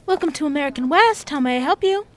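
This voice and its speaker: A helpful airline ticket agent talks in a high voice. Her patter is brisk, folksy and familiar, in radio drama style. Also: lively intonation, fairly bright tone, slight background noise.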